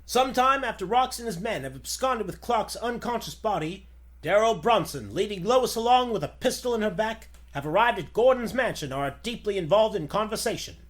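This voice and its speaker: A man's voice: high voice, lively intonation, fairly quick, fairly bright tone. A man narrating dramatically in the style of a 1940s radio serial.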